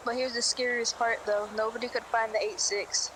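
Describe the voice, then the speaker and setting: Woman acting brusque witness, flat tone, noisy track. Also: on the high side, normal speed, lightly acted. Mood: impatient, uneasy. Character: brusque, impatient woman witness recalling a street-race disappearance. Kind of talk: amateur audio drama acting.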